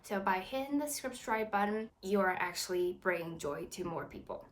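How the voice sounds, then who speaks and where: A woman's voice: mid-range voice, animated, normal speed. Earnest woman vlogger from Taiwan. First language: Chinese. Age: 20s. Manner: earnest, encouraging, chatty. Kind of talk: casual talk to camera.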